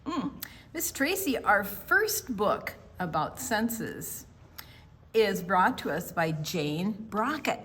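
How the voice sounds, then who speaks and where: An older woman reads and explains things to children at storytime. Her voice is husky and her intonation is lively. She goes slowly and deliberately, leaning on single words. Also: medium pitch. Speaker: children's storytime co-host and reader. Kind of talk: reading a picture book aloud to children.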